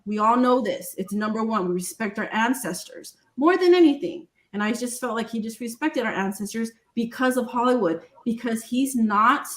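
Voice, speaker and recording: A woman, a guest on a live panel, speaking emphatically and repeating her points. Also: a little high. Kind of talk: casual live panel discussion. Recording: roomy echo.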